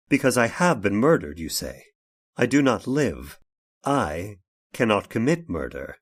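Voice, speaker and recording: An actor playing an anguished, vengeful man in an old time radio horror drama, speaking haltingly with dramatic pauses. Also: fairly low pitch. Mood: menacing. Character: anguished man turned vengeful robot, narrating his horror tale. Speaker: narrator and lead actor.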